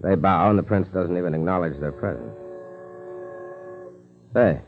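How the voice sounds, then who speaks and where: Through a tinny 1940s radio recording comes an American FBI agent, low in pitch. He is watchful and businesslike, in the style of an old radio play. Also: moderate pace, soft background music.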